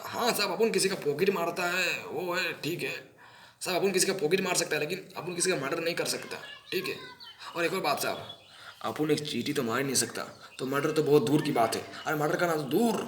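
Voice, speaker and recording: A man's voice: fairly high pitch, fairly quick, a bit bright, echoey room, lightly acted. A man performing an audition monologue as a small-time pickpocket, anxious and servile, pleading innocence to police in whiny, repetitive street slang. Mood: pleading, self-deprecating. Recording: noisy amateur recording with clicks.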